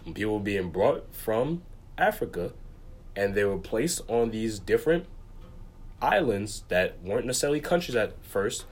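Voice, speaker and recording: A man with a fairly low voice hosts a casual chat. He comes across as agreeable, and he restates his points as he builds toward them. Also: big pitch swings, normal speed, slight background noise. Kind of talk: casual conversation.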